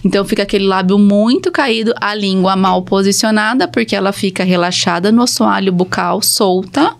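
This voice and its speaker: A woman with a Brazilian accent and a mid-pitched voice speaks as an expert guest. She explains step by step in plain, conversational language, in a teacherly way. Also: fairly quick, creaky. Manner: didactic, plain everyday wording. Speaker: guest speech therapist.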